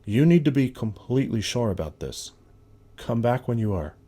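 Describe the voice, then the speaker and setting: Husky, stern space lawman. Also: medium pitch, very animated, moderate pace, mellow. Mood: stern, businesslike. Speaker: video game voice actor. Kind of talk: video game character dialogue.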